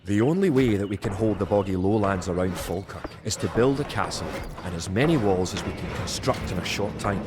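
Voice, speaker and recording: An actor playing a solemn, resolute medieval Scottish soldier, narrating a video game war campaign in a grave, measured storytelling manner. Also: male voice, low voice. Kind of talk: dramatic scripted historical narration. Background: music.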